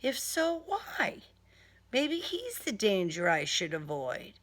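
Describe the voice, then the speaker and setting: A woman's voice: medium pitch, wildly swooping pitch, takes it slowly, a bit bright. A woman reading a crime story in a dramatic first-person style, with a sardonic, theatrical delivery. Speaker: author reading own crime novel.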